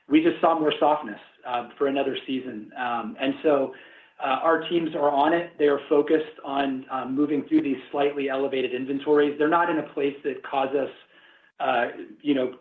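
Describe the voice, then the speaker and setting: A man speaking as a company executive on an earnings call, answering questions in measured, careful corporate phrasing. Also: mid-range voice. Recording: thin phone-line conference call, roomy echo.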